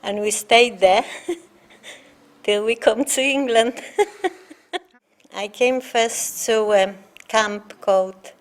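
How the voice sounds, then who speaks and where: An older woman from Poland speaks English with a Polish accent, her first language showing through. She talks slowly, pausing often and filling gaps with "uh" as she recounts her past in an interview. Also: fairly high pitch. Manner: halting, with many fillers and pauses. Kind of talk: oral history interview.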